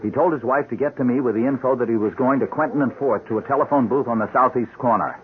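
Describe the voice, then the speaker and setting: An actor playing an earnest, composed police sergeant in a radio drama, explaining things with urgency. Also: male voice, medium pitch, quick pace, natural voice. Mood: earnest, pleading. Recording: narrow-band hissy old radio recording.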